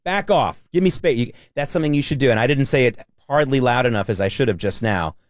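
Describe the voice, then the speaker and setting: Emphatic loud New man. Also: mid-range voice, animated, fast, no room sound, studio quality. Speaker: radio co-host. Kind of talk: casual talk radio chat.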